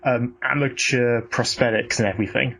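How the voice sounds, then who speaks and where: A man's voice: medium pitch, fairly even intonation, moderate pace, vocal fry, fairly bright tone, poor audio. A man chatting casually and giving blunt opinions in a sweary, ranting way. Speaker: podcast co-host. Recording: noticeable background noise.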